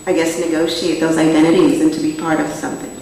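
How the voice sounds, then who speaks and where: A woman's voice: low-pitched, little pitch movement, quick pace, dark tone, slight background noise. A woman in the audience making an earnest, appreciative comment. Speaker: audience member. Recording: distant echoey hall mic.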